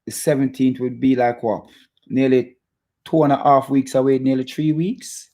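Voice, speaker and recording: Jamaican man, halting casual football chat. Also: medium pitch, little pitch movement, dark tone. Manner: repeats phrases, halting, stop-start delivery. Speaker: football fan channel host. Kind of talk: casual chat to live viewers about football.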